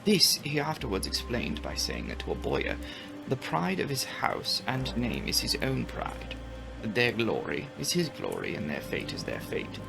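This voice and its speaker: A man giving a dramatic audiobook reading of a classic novel, with expressive, deliberate, theatrical phrasing. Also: mid-range voice, creaky, music in the background, poor audio. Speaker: audiobook narrator and channel host.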